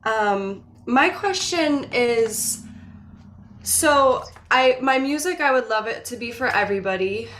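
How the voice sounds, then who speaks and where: A woman from the audience asking a heartfelt question on a video call, halting and a little nervous. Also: a little high, animated, fairly bright tone, slight background noise. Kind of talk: question at an online panel.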